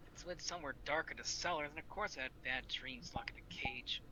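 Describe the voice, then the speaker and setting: A man's voice: a little high, brisk, sharp tone. A man chatting casually in character during an improvised online role-play game. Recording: noisy online game voice chat.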